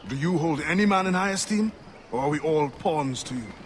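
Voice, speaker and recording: A man's voice: on the high side, expressive, brisk, a little hiss or hum, lightly acted. A man playing a proud, strong escaped slave in a video game cutscene, speaking formally and defiantly. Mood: indignant. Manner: formal, indignant.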